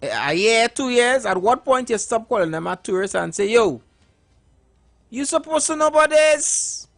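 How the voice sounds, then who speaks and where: A man with a Trinidadian accent co-hosting a radio talk show, talking haltingly and pressing his question again and again. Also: very high voice, lively intonation, vocal fry, fairly bright tone. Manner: hesitant, many fillers and restarts, presses a question. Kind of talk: casual radio chat.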